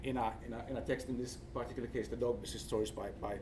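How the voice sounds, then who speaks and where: A man with a Finnish accent gives an academic presentation, speaking haltingly and searching for words. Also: mid-range voice, fairly even intonation. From Finland. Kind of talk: conference presentation with slides. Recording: distant mic, faint background noise.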